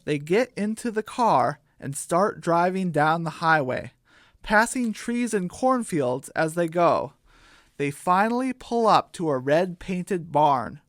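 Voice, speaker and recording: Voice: medium pitch, expressive, takes it slowly, dry recording, studio quality. A storyteller narrating a scripted children's radio drama.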